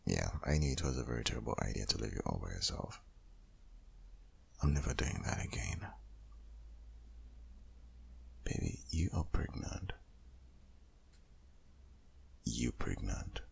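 A man playing a protective, worried boyfriend in an intimate ASMR audio roleplay, speaking insistently in a husky, half-whispered voice. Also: expressive, normal speed, exaggerated voice. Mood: exasperated, concerned. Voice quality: very deep, gravelly, husky, often half-whispered.

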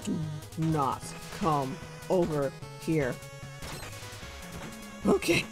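A young man chatting casually while streaming a video game, playful and laughing often. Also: extremely slow. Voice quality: naturally deep for him but light, giggly. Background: faint game music.

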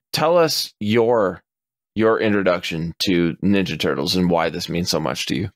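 A man in his forties talks casually on a podcast, his voice sitting on the low side with a dry, close sound. He gets animated, curses freely and slips into quick impressions of customers' lines. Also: no room sound. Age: 40s. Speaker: podcast co-host.

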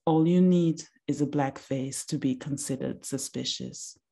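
Woman, thirties, deep mellow voice, deliberate reading, video call. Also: vocal fry, breathy edge. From Austria. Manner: slow, deliberate recitation. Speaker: writer reading a reflection. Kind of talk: measured reflective reading. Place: small room indoors.

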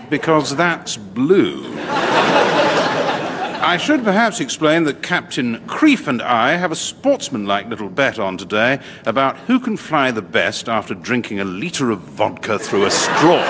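Smooth sardonic English co-pilot, middle-aged, swooping intonation, unhurried, radio comedy, reverberant noisy recording. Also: male voice, mid-range voice, big pitch swings. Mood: jovial, sly. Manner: urbane, drily ironic, unhurried. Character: suave, mischievous middle-aged airline first officer, smoothly sardonic. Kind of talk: British radio sitcom acting.

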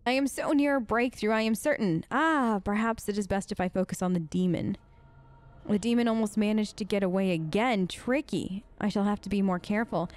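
A woman's voice: medium pitch, darkish tone, natural voice. Woman reading as musing scholarly enchanter. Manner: musing, formal. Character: scholarly male enchanter writing a research journal. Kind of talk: reading a game journal aloud.

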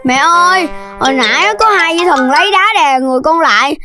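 A woman's voice: high voice, animated, fairly quick, loud music behind the voice. A woman voicing a small, sulky boy piglet in a children's cartoon, whiny and childish. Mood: frightened, pleading.

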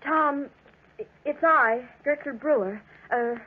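High-pitched frightened farm wife. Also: female voice, background noise. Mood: fearful, weary. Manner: pleading, halting, emotional. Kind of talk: 1940s radio drama acting. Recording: narrow, tinny old 1940s radio recording.

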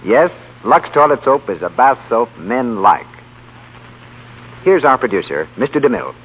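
A man's voice: mid-range voice, sing-song, moderate pace, breathy edge, faint music behind. A man working as a 1930s radio announcer, delivering advertising in a polished, persuasive way. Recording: muffled, humming 1930s radio broadcast.

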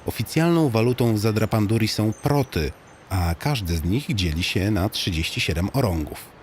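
Low-voiced, very animated male storyteller. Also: normal speed, slight background noise. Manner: tongue-in-cheek mock-solemn storytelling with animated swings. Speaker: audiobook narrator and guide to a fictional city. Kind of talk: comic scripted narration addressed to the listener.

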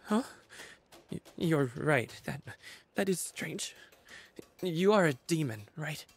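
A woman's voice: low-pitched, animated, slow, husky, exaggerated voice. A woman voicing a timid, superstitious young junker in a video game, stammering and melodramatic. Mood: puzzled, fearful. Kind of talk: video game cutscene acting.

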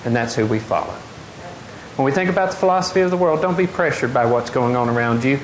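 Middle-aged preacher in an echoey hall. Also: male voice, mid-range voice, fast talker. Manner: animated, gestures widely, asks the congregation questions. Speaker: pastor. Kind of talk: sermon. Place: large wooden open-air church hall. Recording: echoey hall, faint noise.

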